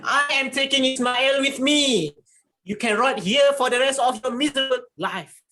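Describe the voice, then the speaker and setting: An actor playing an angry, threatening estranged husband, commanding and confrontational, in a dramatised story reading. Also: male voice, very high-pitched, moderate pace, pushed and bright. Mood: menacing.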